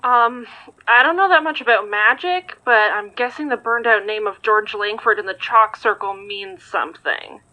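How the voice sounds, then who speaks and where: A woman acting as a sharp, wry and practical observer in an audio drama. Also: medium pitch, normal speed, close to an everyday voice, poor audio. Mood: wry, pointed.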